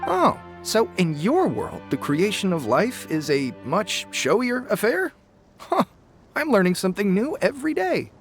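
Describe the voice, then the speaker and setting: Casting: pompous, bumbling storybook critter for a game; swooping, excitable, theatrical delivery over music. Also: male voice, high-pitched, a little slow, full character voice. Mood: intrigued. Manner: theatrical, self-important, excitable. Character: bumbling, boastful male paper forest creature, theatrical storyteller. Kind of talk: animated video game voice acting.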